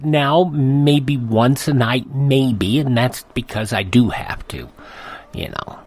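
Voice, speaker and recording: A man's voice: medium pitch, slow, a little mellow. An older man hosting a radio show, reading ads in a chatty, rambling, conversational way. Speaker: radio host. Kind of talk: casual ad read.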